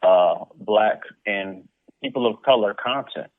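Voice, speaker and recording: Male guest, lowish pitch, casual talker, thin phone-quality audio with no room sound. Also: slow, no echo. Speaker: interview guest, comic book creator. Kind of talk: casual conversational interview answers. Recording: narrow, tinny phone-like line.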